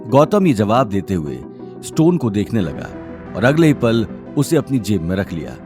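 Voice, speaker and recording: A man's voice: on the low side, sing-song, normal speed, over music. A man narrating an audio drama series as a scripted, dramatic story.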